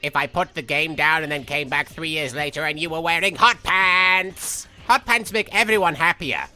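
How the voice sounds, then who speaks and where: A flamboyant, self-absorbed young male cartoon villain for comic game commentary. The delivery is whiny and theatrical, full of absurd rambling asides. Game music plays clearly underneath. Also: a little high, pushed and bright. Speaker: in-character let's play host. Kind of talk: comedic video game commentary in character.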